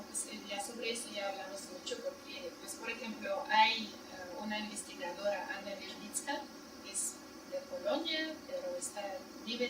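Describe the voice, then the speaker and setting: A woman giving a university seminar lecture in Spanish as a second language, explaining in plain steps and checking her listeners with short questions. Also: medium pitch. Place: seminar room. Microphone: distant room mic. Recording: echoey room, far mic, loud noise.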